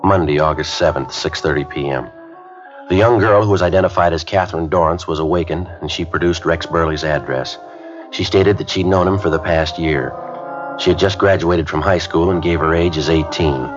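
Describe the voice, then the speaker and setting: An actor playing a methodical police detective in a radio crime drama, questioning in clipped, flat sentences and narrating the case. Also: male voice, sits low, fairly quick, music in the background, close to an everyday voice. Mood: clipped narration. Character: curt, methodical male police sergeant. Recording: dull lo-fi 1950s radio recording.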